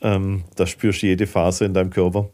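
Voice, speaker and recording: A middle-aged man with a fairly low voice speaks as a guest in a casual podcast interview. He is candid and colloquial, happy to expand on his answers in everyday language. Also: level tone, moderate pace, dark tone. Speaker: guest, filmmaker and managing director.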